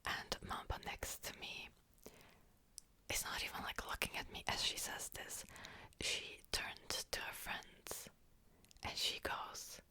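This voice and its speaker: A woman's voice: slow, pauses often. A young woman whispering a personal story in a chatty way, full of "like" fillers and small laughs. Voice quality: soft whisper throughout. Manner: chatty, frequent "like" fillers, amused.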